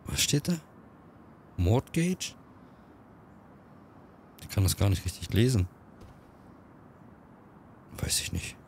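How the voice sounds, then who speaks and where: A man with a cold, chatting quickly through casual gameplay commentary and reading on-screen text aloud. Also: fairly low pitch, sing-song, a little mellow, slight background noise. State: has a cold. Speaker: let's-play gamer.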